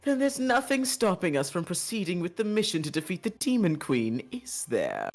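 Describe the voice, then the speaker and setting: Woman playing an imperious, low-voiced game empress. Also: wildly swooping pitch, normal speed, heavily acted. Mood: commanding. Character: imperious empress and domineering mother. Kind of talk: visual novel voice-over read aloud.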